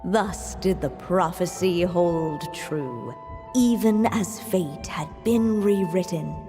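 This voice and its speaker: A woman narrating a storybook tale in a video game in a measured, solemn manner, with long reverb. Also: fairly low pitch, music in the background. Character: gentle, solemn storybook narrator of fairy tales.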